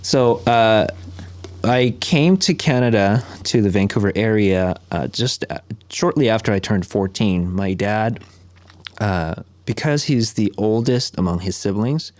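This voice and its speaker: A man raised in South Korea, his first language Korean, with a very low voice. He talks in an easygoing, conversational way, pausing on fillers and restarting sentences. The sound is dry and close, with no room echo. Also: a little mellow. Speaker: podcast guest, ministry worker. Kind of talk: conversational storytelling.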